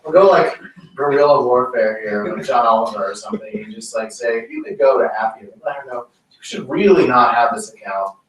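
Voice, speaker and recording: A man's voice: mid-range voice, expressive, a little fast, far from the mic, a crowd in the background. A man giving a casual talk at a tech meetup, rambling and joking with a lot of "like". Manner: chatty, rambling, lots of "like". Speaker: meetup speaker. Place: meeting room. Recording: roomy echo, mic from afar, faint noise.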